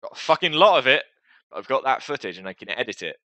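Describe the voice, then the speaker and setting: A man's voice: medium pitch, very animated, fast, bright, forceful tone, no room sound. A man talking casually and hesitantly to his viewers as a gaming video host, with a self-deprecating, rambling manner.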